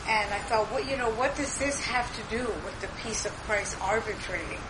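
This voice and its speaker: A woman's voice: fairly high pitch, moderate pace. A woman thinking aloud as she shares a reflection at a church meeting. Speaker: church member sharing. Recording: noisy recording.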